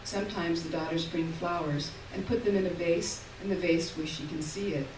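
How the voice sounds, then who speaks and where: A woman reading literary fiction aloud on stage in a lyrical, measured way, heard from far off in an echoey hall. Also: fairly low pitch, fairly even intonation, noisy background. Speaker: reader. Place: theater stage.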